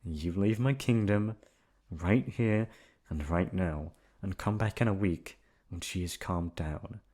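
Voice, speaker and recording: A man's voice: sits low, takes it slowly, a little mellow. Protective young man in a storybook fantasy, done by a male narrator, firm and direct. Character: protective young male friend guarding a runaway princess.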